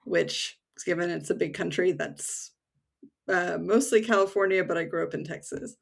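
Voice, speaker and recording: A woman from the United States in her thirties chats as a remote podcast guest. Her voice sits low with a gravelly fry. She talks in a relaxed way, with fillers and small laughs. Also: moderate pace. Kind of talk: casual conversation. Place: home in England.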